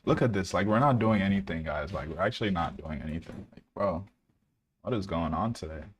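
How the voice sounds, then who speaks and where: A young man from Ghana with a deep, low voice talks through a live trading stream in a relaxed, casual way. He fills pauses with "like" and "um" and answers viewers' chat questions as they come in. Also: slight background noise. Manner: relaxed, laid-back, many fillers, reads out chat questions. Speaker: day trader streaming live.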